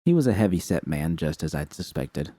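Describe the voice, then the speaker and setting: Deep, dark-voiced man reading a story. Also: big pitch swings, a little fast, no room sound. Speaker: fan fiction reader. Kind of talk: first-person story narration.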